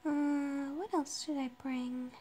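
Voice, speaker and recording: A woman with a slightly raspy voice, chatting casually to herself while she builds in a roleplay game, hesitant with lots of pauses. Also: high voice, steady tone, warm tone. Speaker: Minecraft roleplay player. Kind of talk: casual gameplay narration. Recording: noisy background.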